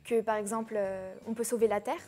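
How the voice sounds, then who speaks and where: A woman's voice: fairly high pitch, fairly even intonation, brisk, warm tone, faint music behind. A teenage girl being interviewed about her songs, hesitant, with many fillers and restarts. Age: teens. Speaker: guest singer. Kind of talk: casual interview.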